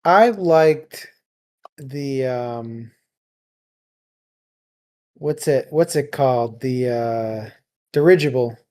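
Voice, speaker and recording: Informal co-host for a book chat, very slow delivery, medium pitch. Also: darkish tone. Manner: informal, laughs often. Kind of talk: casual chat, book discussion.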